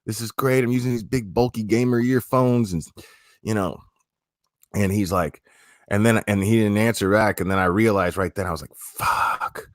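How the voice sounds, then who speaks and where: Low-voiced young guy chatting on a stream, rambly, lots of repeats, dry close sound. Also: steady tone, normal speed, no echo. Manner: rambling, repeats words, swears casually. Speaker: livestream host. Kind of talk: casual livestream chat.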